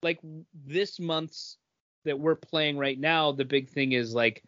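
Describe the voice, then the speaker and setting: A man's voice: fairly high pitch, slow, a bit bright. A man talking casually about games as a podcast co-host, on a slightly dull recording.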